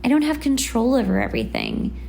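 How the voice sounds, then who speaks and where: A woman's voice: sits high, wildly swooping pitch, a little fast, vocal fry, a little mellow, a little hiss or hum. A woman hosting a casual motivational solo podcast, talking in an informal, conversational and emphatic way. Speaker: podcast host.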